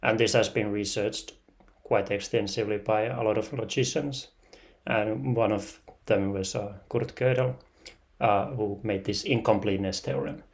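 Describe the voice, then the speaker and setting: A man's voice: fairly low pitch, moderate pace, vocal fry. A young man with a Finnish accent giving a technical thesis talk, hesitant and full of fillers. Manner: hesitant, many "uh" and "kind of like" fillers. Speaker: researcher presenting thesis.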